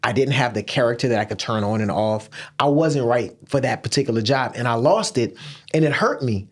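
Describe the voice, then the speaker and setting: A man's voice: mid-range voice, a little fast. A man hosting an interview podcast, speaking in an emphatic, motivational, preachy way. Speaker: podcast host.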